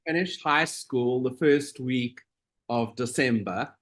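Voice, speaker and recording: A middle-aged man from South Africa, a nurse being interviewed, talking in a relaxed, meandering way with frequent pauses. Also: medium pitch. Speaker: guest, operating room nurse. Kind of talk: casual interview conversation.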